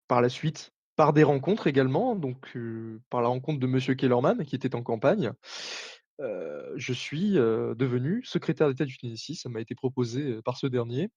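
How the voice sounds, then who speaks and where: A man playing a retired navy commander turned politician, answering interview questions in a formal, halting way full of filler sounds. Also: medium pitch, darkish tone, no room sound, close to an everyday voice. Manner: formal, hesitant, frequent filler sounds and restarts. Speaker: interview guest. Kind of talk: roleplay interview. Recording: dull dry sound.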